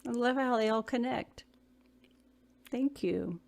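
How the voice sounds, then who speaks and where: A woman leading a songwriting workshop over a video call, effusive and quick to laugh as she praises each participant. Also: a little high, gravelly, darkish tone. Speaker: workshop leader. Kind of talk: encouraging workshop facilitation.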